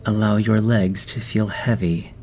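A man narrating a guided meditation, giving gentle, soothing instructions with long pauses. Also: on the low side, flat delivery. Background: background music.

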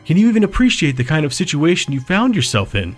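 A man voicing a calm, emotionless male captor who speaks softly and formally. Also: fairly high pitch, soft background music, pitched above the performer's own voice. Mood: cold. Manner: soft, emotionless, measured. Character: cold, composed male captor, quietly menacing.

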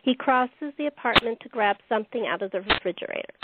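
A woman host narrating a radio play, reading the script deliberately, phrase by phrase. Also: a little high, expressive, noisy background. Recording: dry, tinny phone-line audio.

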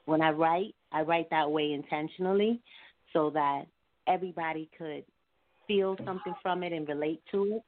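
A woman's voice: lowish voice, no echo. A woman singer-songwriter chatting casually as the guest in a radio interview. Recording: narrow, tinny phone-like line.

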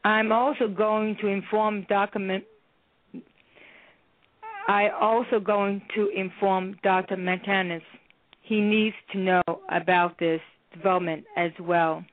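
Woman doing a strict lady therapist, slow measured words, tinny phone-line radio sound. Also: mid-range voice, steady tone, natural voice. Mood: firm. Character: stern female counselor and therapist, adult. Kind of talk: amateur radio play reading.

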